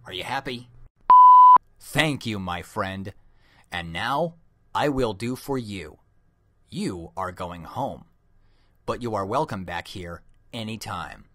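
A man voicing a calm, serious young ninja chameleon for an amateur cartoon demo, speaking in a restrained, deliberate, earnest way. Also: lowish voice. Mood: weary, solemn.

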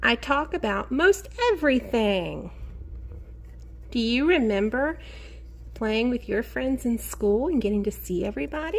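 A woman teacher reading a picture book aloud to young children in an expressive sing-song way, encouraging them and asking them questions. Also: on the high side, vocal fry, slightly rough. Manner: expressive, sing-song, drawn-out key words; encouraging, addresses children directly.

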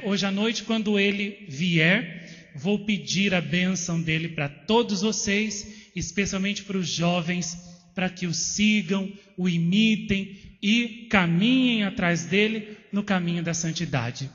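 A Brazilian man in his thirties giving a slow, earnest devotional talk to pilgrims in a shrine chapel, pausing on "eh" and "né". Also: sits high, fairly bright tone. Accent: Brazilian Portuguese. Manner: slow, earnest, fills pauses with "eh" and "né". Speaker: visionary addressing pilgrims. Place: indoor shrine chapel with statues. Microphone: handheld microphone. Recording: reverberant, dull lo-fi video sound.

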